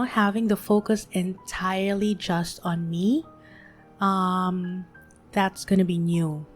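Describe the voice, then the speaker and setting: A woman in her late thirties, speaking gently and soothingly in a reflective monologue as a podcast host. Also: mid-range voice. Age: 30s. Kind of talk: soft-spoken reflective monologue.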